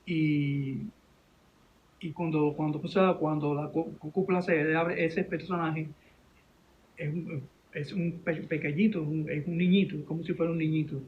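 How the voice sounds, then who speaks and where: A man with a Venezuelan accent telling a story informally in an interview, halting and repeating his words. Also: on the high side, flat delivery, phone-quality sound, a little hiss or hum. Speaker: interview guest, animation director and teacher.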